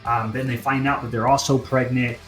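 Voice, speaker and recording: An upbeat man hosting an interview, his voice at an ordinary pitch. He talks in an echoey room with faint music underneath. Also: flat delivery, a little fast. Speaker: podcast host, interviewer. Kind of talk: interview intro and questions.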